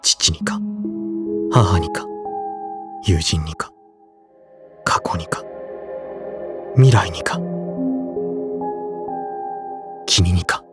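Very deep, solemn teenage boy. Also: animated, loud music behind the voice. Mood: anguished. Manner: solemn, measured delivery. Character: serious, restrained high-school boy, brooding and tormented. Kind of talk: Japanese audio drama acting, inner monologue and dialogue.

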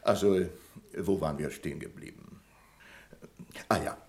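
An actor playing a pedantic, imperious bank manager in a German radio play, curt and condescending in manner. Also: male voice, deep voice, unhurried. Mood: indignant. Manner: curt, condescending, fault-finding.

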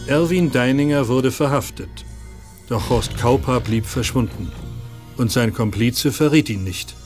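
A man's voice: medium pitch, measured pace, a little mellow. A man reading scripted narration for an audio drama. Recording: music under the voice. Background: music.

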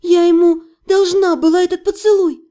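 A woman's voice: very high voice, steady tone, moderate pace, warm tone, pitched above the performer's own voice. A woman playing a distraught young woman in an audiobook, pleading with her fiancé in a flustered, defensive way. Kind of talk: dramatized audiobook dialogue.

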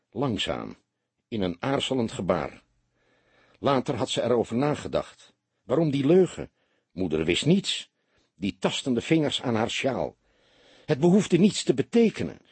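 A man the reading a suspenseful crime story as an audiobook narrator, with measured, expressive phrasing. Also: medium pitch, husky, dry recording.

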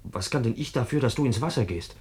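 A man's voice: medium pitch, expressive, quick pace, dark tone, close to an everyday voice. An actor in a German radio drama playing an evasive, self-pitying policeman with a clipped, defensive delivery. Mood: defensive, cold. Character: evasive, self-pitying policeman who jilted his bride.

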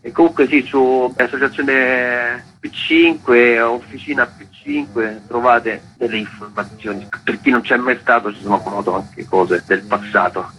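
Rambling man, bright voice. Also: medium pitch, unhurried, hardly any pauses, faint music behind, poor audio. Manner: rambling, relaxed, many fillers. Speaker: festival organizer, guest. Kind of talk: casual radio interview.

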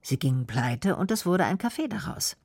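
A woman's voice: fairly low pitch, big pitch swings, moderate pace, a little mellow, husky. A woman reading scripted radio documentary narration and translations as a voice-over.

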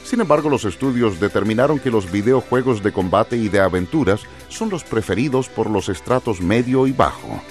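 A man narrates an educational radio programme from a script. His voice is low, with lively, varied intonation. Music plays softly underneath. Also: normal speed, hardly any pauses.

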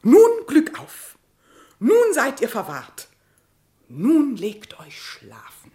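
A woman's voice: high voice, huge pitch swings, slow, warm tone, exaggerated voice. An actress playing a caring, fussy older female ape who gives coaxing, conspiratorial advice in a radio play. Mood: tender.

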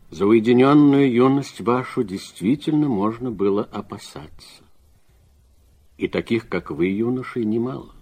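An actor playing a grave, thoughtful man musing on youth in a literary radio drama, speaking in a measured, deliberate, philosophical way. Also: on the low side, a little mellow, husky, heavily acted.

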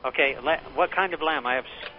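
A man's voice: fairly high pitch, quick pace, crowd noise. A man who manages a restaurant, answering a phone call and stammering, flustered and confused. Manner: flustered, stammering, repeats words. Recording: dull lo-fi phone recording with faint noise.

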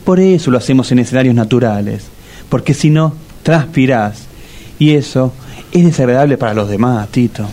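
A man voices La Torca-style fussy bachelor in a radio comedy, lonely but earnest. He scolds in a steady, mid-pitched voice with a porteño accent. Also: normal speed, natural voice. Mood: scolding. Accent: Rioplatense Spanish. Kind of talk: comic radio drama acting.

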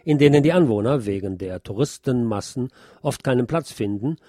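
A man's voice: mid-range voice, animated, normal speed, mellow, dry recording. A man presenting scripted radio news as a narrator, with a slightly wry, colloquial delivery.

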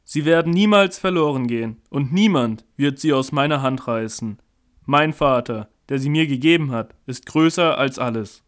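A man playing a calm, authoritative teacher in a scripted Bible audio drama, speaking in a measured, earnest way. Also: mid-range voice, close to an everyday voice. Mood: firm, assured.

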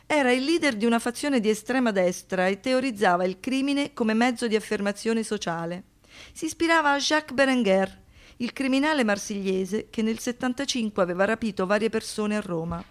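A woman with a fairly high voice narrates a scripted documentary stage piece. Her phrasing is deliberate and expressive, placing pointed weight on the words.

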